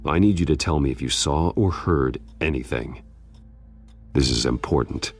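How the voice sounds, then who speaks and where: Brooding crime-fighter for a video game: rock-bottom pitch, heavy gravel, protective, measured delivery. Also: male voice, heavily acted. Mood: serious. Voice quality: gravelly, very deep growl. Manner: terse, deliberate. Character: grim masked male vigilante detective, protective. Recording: music behind the voice.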